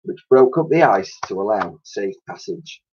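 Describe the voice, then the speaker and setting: A man's voice: fairly low pitch, expressive, normal speed, darkish tone, breathy edge. Male teacher reading aloud, slightly dull audio. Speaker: primary school teacher reading to pupils. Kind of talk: expressive children's storybook narration.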